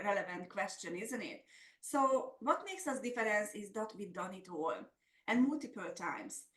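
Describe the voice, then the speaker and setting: A woman's voice: mid-range voice, a bit bright. A middle-aged woman with a Hungarian accent, presenting a semi-scripted business webinar as a coach. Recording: roomy, echoey sound.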